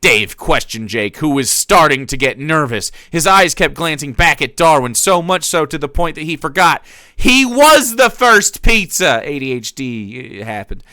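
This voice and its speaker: A man's voice: on the high side, sing-song, moderate pace, sharp tone, no room sound. Theatrical streamer man reading aloud. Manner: theatrical, breaks off to laugh and comment. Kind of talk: dramatic reading of fan fiction.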